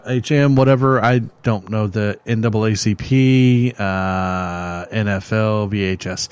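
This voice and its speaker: A man's voice: medium pitch, a little mellow, no echo. A man hosting a metal radio show, reviewing songs in a rambling, joking way with many fillers, his voice starting to give out. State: losing his voice. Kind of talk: casual music review chat.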